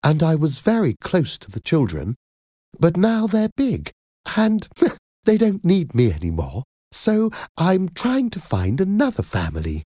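An enthusiastic older nanny lady, acted out by a man for a storybook. The voice is pitched high and swoops widely, warm and effusive, full of fond endearments. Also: wildly swooping pitch, slow pace, dry recording, studio quality, full character voice. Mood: friendly.

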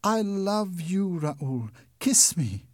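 A man's voice: a little high, expressive, slow pace, darkish tone, no room sound, clean studio sound. A man voicing a frightened young female singer held captive, tense and fearful. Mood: pleading.

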